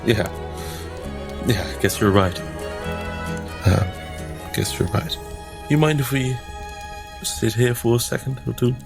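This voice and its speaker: A man's voice: medium pitch, a little slow, vocal fry, pauses often, music in the background. A man playing an earnest, troubled priest in a tabletop game, stammering and unsure of himself. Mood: anguished, earnest.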